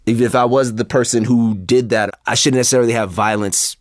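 A man's voice: mid-range voice, steady tone, fast talker, no echo, clean studio sound. A man speaking candidly and earnestly as a guest in a casual, reflective conversation. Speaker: interview guest.

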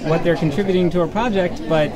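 A man's voice: high-pitched, fairly even intonation, fast. A man conducting a casual interview, asking wordy, hesitant, informal questions. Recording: busy public room with music and loud chatter. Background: loud crowd chatter and music.

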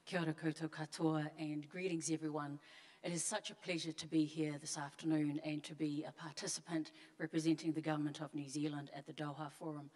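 A middle-aged woman from Tonga, giving a measured, earnest panel speech on stage into a handheld mic. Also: low voice, level tone, darkish tone. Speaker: panelist, member of parliament. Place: large conference hall on stage. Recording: long hall reverb.

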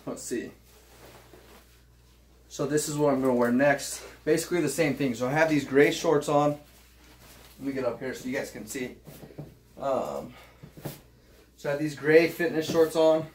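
Young guy from utah chatting loose to camera, lots of ums, in a little home office, cam across the room. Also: on the high side. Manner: easygoing, rambling, many fillers and restarts. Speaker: vlogger. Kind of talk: casual try-on haul chat. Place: small home office, indoors.

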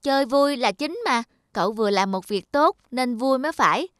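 A woman's voice: fairly high pitch, animated, normal speed, dry recording, clean studio sound, close to an everyday voice. A woman voicing a caring, righteous young schoolboy in a cartoon, earnest in manner. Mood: reassuring. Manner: earnest, reproving. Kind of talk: cartoon dubbing. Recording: music behind the voice.

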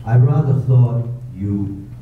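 An amateur actor in a staged radio drama reading, playing a brusque, wealthy older gentleman who talks in a dry, teasing, curt way. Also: medium pitch, takes it slowly, narrow, tinny audio, slight background noise, natural voice. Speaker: amateur readers theater actor. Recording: echoey hall, far from mic, phone-thin sound.

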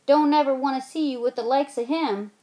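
A woman's voice: sits high, fairly quick, warm tone, pitched above the performer's own voice, natural voice. A woman reading aloud voices a mischievous old aunt who crows her story in a folksy drawl. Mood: crowing.

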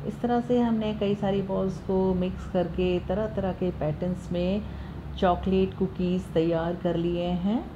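A woman hosting a cooking channel, calmly talking through the steps of a recipe in her home kitchen, recorded on a phone. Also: on the low side, a little slow, dull audio, background noise. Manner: calm, instructive, repeats steps. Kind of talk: step-by-step recipe voice-over.

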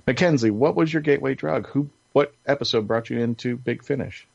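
A man hosting a casual panel chat, speaking haltingly and often repeating and rephrasing his words. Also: mid-range voice, vocal fry, background noise. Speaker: podcast host. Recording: dull, lo-fi close sound.

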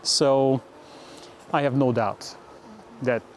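A man's voice: mid-range voice, steady tone, very slow, slight background noise. A middle-aged man from Hungary, speaking in an earnest, upbeat interview tone with small restarts, on a lapel mic in an office. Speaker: interviewee, Olympic organizing expert. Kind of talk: relaxed interview talk. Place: indoor office.

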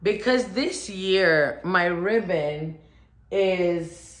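A woman's voice: fairly low pitch, very slow. A woman, chatting and thinking aloud as she gives a casual decorating demonstration. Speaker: home decor vlogger. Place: furnished living room at home, indoors.

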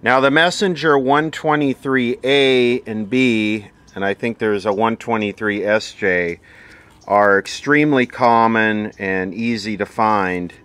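Man, low-pitched, very unhurried, casual repair commentary, dry and sardonic. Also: fairly bright tone, smooth. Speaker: vintage electronics repair host. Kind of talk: casual off-the-cuff bench commentary.

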